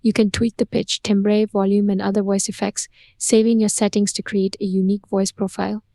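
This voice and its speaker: A woman reads a scripted software promotion in a smooth, evenly paced voice-over. Her intonation stays very flat, and her tone is mellow and warm. The recording is dry and close, with no room sound. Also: medium pitch, brisk, no echo. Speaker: product promo narrator.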